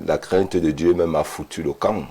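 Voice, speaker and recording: A man's voice: sits low, fairly even intonation, moderate pace, warm tone, slight background noise. A man, a guest speaking emphatically in a studio debate, often repeating words as he starts. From Cameroon. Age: 50s. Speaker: panel guest.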